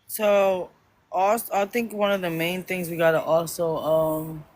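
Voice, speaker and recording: A woman's voice: lowish voice, fairly even intonation, a little slow, darkish tone, a little hiss or hum. A woman giving blunt, emphatic advice in a group chat, with some swearing.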